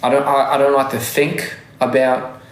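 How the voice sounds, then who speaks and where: A man's voice: mid-range voice, little pitch movement, normal speed. Guy with a half aussie half american accent chatting on a livestream, rambly, restarts a lot, echoey room. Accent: mixed Australian and American English. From Australia. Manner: rambling, self-correcting, laughs often. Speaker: livestream host. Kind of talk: casual livestream chat answering comments.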